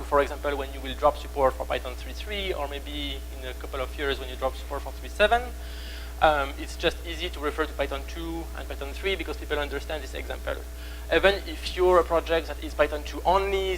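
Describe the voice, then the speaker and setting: A young man with a strong French accent giving a conference talk on stage, speaking haltingly with many fillers and restarts. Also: a little high, fairly bright tone, slight background noise, rough recording. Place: conference room.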